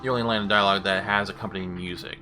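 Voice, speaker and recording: A man's voice: low-pitched, steady tone, very fast, over music. A man casually demonstrating a game voice pack and giving spoken commands. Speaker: gamer demonstrating voice packs.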